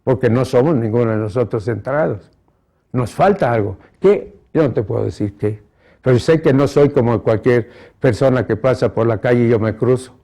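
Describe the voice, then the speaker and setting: A man's voice: medium pitch, normal speed, warm tone. A man, giving reflective personal testimony. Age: 70s. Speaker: interviewee.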